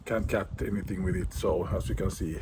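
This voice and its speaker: A man's voice: deep voice, fairly even intonation, fairly quick, heavy background noise. A man with a Swedish accent, a native Swedish speaker, hosting a casual shaving vlog and now and then searching for English words. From Sweden. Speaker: shaving channel host.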